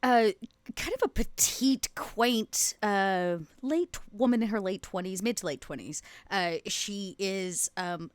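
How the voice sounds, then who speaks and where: Clear lowish female voice, dry sound, roleplaying player, improvised description. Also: a bit bright, no room sound. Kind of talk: improvised character description in a tabletop game.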